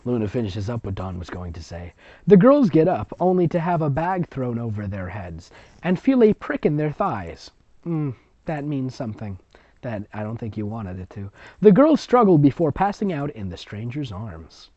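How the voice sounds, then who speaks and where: Sarcastic, very animated story reader. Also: medium pitch, moderate pace, no echo. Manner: sarcastic, exasperated. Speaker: fan fiction reader and commentator. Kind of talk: mocking story reading with ad-libbed commentary. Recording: dull, lo-fi close recording.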